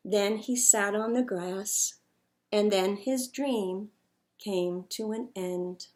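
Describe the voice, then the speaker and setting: A woman reading a picture book aloud to children in an expressive, dramatic storyteller's manner. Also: mid-range voice, takes it slowly.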